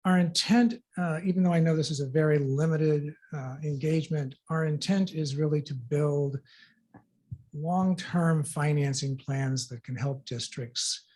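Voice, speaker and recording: A soft-voiced man, a financial advisor, giving an easygoing presentation to a board. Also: fairly high pitch, steady tone, unhurried.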